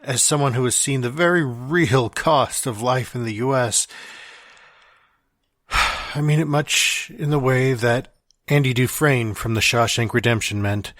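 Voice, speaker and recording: Wry, morbid dying man voiced by a male actor, slow and reflective, reading his last letter for an audio drama, very dry recording. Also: medium pitch, a bit bright, no echo. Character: morbid dying man with gallows humor, writing farewell letter.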